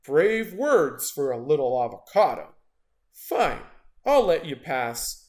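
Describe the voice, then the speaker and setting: A man's voice: fairly high pitch, sing-song, slow, a little mellow. Guy doing a menacing storybook shark, growly and amused, swoopy delivery. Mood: grudging respect. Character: hungry, menacing but grudgingly amused male shark.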